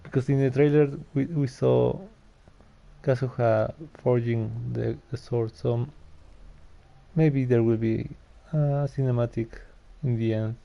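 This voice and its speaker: Slow, hesitant man with a gravelly voice. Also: mid-range voice, fairly even intonation, dark tone. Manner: hesitant, repeating words and trailing off. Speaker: gaming YouTuber. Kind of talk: casual gameplay commentary. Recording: dull lo-fi mic with faint game music.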